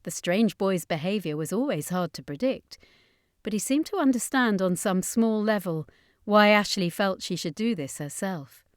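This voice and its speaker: A woman's voice: mid-range voice, lively intonation, moderate pace, no echo, clean studio sound. A woman reading a horror fantasy story as scripted audiobook narration.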